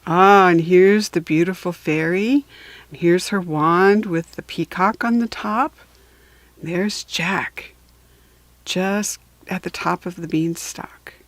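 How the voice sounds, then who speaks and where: A woman reading a children's storybook aloud for beginning readers, deliberate and patient, with chatty asides to listeners. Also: lowish voice, dry recording. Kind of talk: slow read-aloud storybook narration for beginners.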